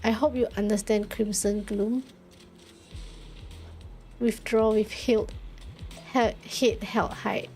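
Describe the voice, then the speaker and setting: A woman's voice: mid-range voice, steady tone, slow pace, long pauses, darkish tone. A woman livestreaming a story game, reading the text aloud and reacting to it. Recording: game music behind the voice. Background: game music.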